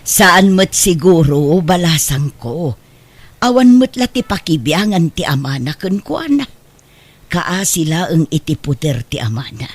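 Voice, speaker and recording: A woman playing a protective, sympathetic middle-aged godmother in a radio drama, expressive and concerned. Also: sits low, unhurried, husky, no room sound, full character voice. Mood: reassuring, pleading. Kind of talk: Ilocano radio drama acting.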